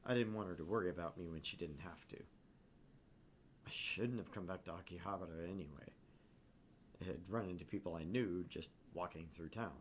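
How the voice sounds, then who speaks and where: A man voicing a haunted young male student who narrates his anguish in a brooding, measured way in a visual novel. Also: deep voice, close to an everyday voice. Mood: guarded. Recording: clear background noise.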